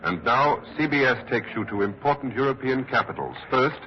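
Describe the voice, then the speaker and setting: An actor playing a grave, earnest news reporter in a dramatic, formal 1940s radio style. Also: male voice, medium pitch, expressive, brisk, close to an everyday voice. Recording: muffled, noisy 1940s radio broadcast.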